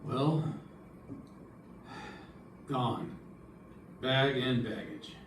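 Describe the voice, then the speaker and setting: A man's voice: medium pitch, steady tone, extremely slow, a little hiss or hum. Man playing terse radio detective in echoey room. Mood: brisk, probing. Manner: terse, pointed. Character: hard-boiled male investigator narrating and questioning suspects. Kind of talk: old-time radio detective drama performed live. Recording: echoey room, distant mic, music.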